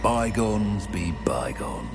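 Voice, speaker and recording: A menacing goblin warlord, with an extremely low voice and a slow, threatening delivery. He is sardonic and sure of himself, as in a fantasy game cutscene, over loud music. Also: big pitch swings, gravelly, heavily acted. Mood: mock politeness. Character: menacing, self-assured male goblin rebel leader. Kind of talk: video game cutscene acting.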